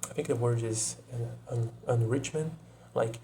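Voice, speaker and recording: A young Brazilian man, native Portuguese speaker, talking casually to camera in English with a Brazilian accent, often pausing to search for words and adding "you know". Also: medium pitch, mellow, a little hiss or hum. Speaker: video creator.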